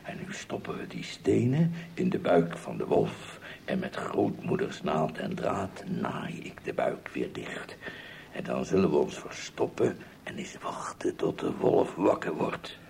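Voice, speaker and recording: A man's voice: very deep voice, very animated, measured pace, gravelly, a little mellow, whispered, heavily acted. A man playing a kindly, practical huntsman in a fairy tale radio play, musing aloud in an avuncular way. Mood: resolute.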